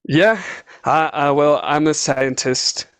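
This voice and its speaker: A man's voice: fairly high pitch, slow, fairly bright tone, clear, resonant tone, no room sound. A man from Mexico with a Mexican accent, a native Spanish speaker, answering interview questions as a scientist, hesitantly searching for words. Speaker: scientist, English learner being interviewed.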